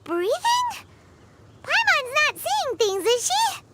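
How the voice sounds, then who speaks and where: A woman voicing a small, excitable female fairy companion in a video game, with a high childlike voice. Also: takes it slowly, slight background noise, full character voice. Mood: alarmed. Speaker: game character. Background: loud game music.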